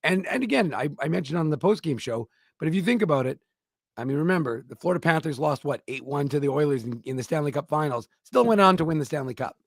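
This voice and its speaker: A man's voice: on the high side, expressive, fast, vocal fry, no room sound. A man co-hosting a hockey show talks through his opinion in loose, casual speech. He often restarts and repeats words as he builds his point, and he sounds close and dry with no room around him. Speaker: co-host of a hockey talk show. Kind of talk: casual sports talk.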